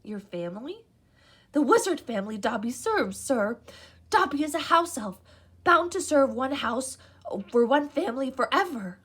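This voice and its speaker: A woman voicing a small, servile male house elf with a squeaky, high-pitched voice and an earnest, tearful, overly formal manner. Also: lively intonation, normal speed, fairly bright tone, breathy edge, exaggerated voice.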